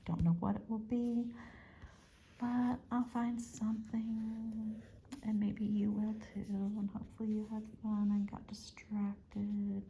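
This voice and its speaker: A woman's voice: medium pitch, slight background noise, low-quality recording. A woman whispering through an ASMR reading, playful and chatty in her asides. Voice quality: mostly whispered, breathy and soft. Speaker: ASMR vlogger.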